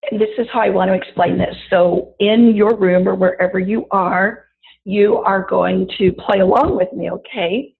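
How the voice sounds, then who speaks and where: A woman with a raspy voice after an illness, teaching a wellness lesson and chatting directly to her listeners. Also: medium pitch, moderate pace. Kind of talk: guided body-awareness talk. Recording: tinny phone-like audio.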